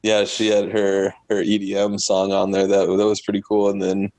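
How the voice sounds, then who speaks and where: A man's voice: fairly low pitch, moderate pace, dry recording. A man chatting casually with friends as a podcast crew member, informal and sweary, often giggly as he talks. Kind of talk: casual group chat. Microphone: online voice chat. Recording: steady background noise and clicks.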